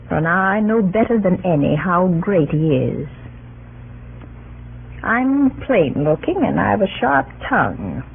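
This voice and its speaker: An actress playing a proud, sharp-tongued, devoted wife of a politician in an earnest, theatrical old radio drama style. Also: female voice, lowish voice, gravelly, breathy edge, exaggerated voice. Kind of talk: 1940s radio acting. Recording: muffled, band-limited old radio recording.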